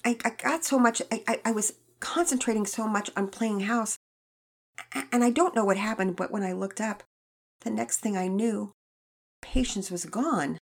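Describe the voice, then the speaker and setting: A woman voicing a remorseful young girl who stammers and speaks haltingly in a children's storybook reading. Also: medium pitch, pitched above the performer's own voice, natural voice. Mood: halting, tearful.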